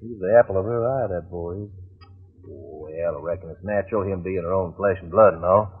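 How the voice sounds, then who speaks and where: An actor playing a talkative, good-natured backwoods soldier with an American Southern accent, folksy and rambling, in old-time radio drama style. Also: male voice, deep voice, fairly quick, slightly rough. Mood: earnest, folksy. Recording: muffled, narrow-band old radio recording.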